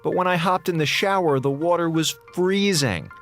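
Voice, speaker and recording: A man's voice: on the high side, normal speed, lightly acted. Male actor as weary communications officer, wry, casual, melodramatic, radio drama, music underneath. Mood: indignant. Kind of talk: radio drama acting, log narration.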